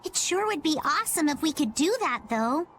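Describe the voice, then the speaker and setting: A small, childlike companion in a video game. It is very high and animated, full of enthusiasm, over faint background noise. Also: female voice, normal speed. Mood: cheerful.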